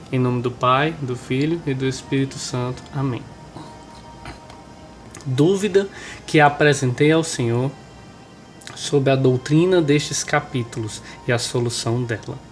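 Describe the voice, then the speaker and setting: A Brazilian man with a nasal, hoarse voice, stuffed up with a cold, presenting and reading a religious book aloud. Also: fairly high pitch. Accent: Brazilian Portuguese. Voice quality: nasal, congested. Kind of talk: informal remarks and devotional reading aloud.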